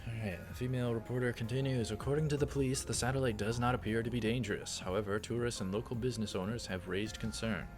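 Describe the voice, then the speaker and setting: A man's voice: mid-range voice, fairly even intonation, fast, few pauses. A man hosting a let's play, reading visual novel text aloud and adding casual, offhand comments. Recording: music behind the voice. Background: game music.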